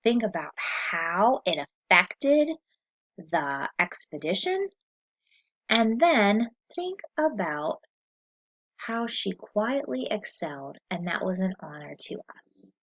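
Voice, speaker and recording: A woman teacher reading a lesson aloud to children, slow and clear, pausing so they can answer. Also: mid-range voice, sing-song, a bit bright, muffled recording.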